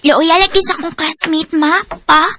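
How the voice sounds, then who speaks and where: A woman playing young student Trisha, very high. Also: fairly even intonation, moderate pace, noisy background. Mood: chatty. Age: young adult. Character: Trisha, young female college student, caring daughter. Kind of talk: radio drama acting.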